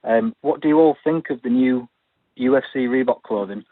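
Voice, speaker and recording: A man with a husky voice asking a reporter's question on a media call. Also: medium pitch, normal speed, no room sound. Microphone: telephone. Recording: dull, dry phone line.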